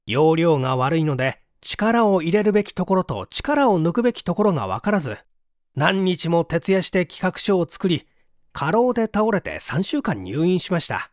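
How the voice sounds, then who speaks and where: A man narrating a book as scripted audiobook reading, expressive and clearly articulated. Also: high-pitched, normal speed, no echo, clean studio sound.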